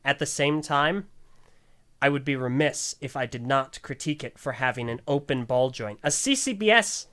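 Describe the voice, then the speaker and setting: A man's voice: mid-range voice, moderate pace, sharp tone. A man hosting a casual panel podcast and giving product opinions, often breaking into giggles as he talks. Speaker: podcast host.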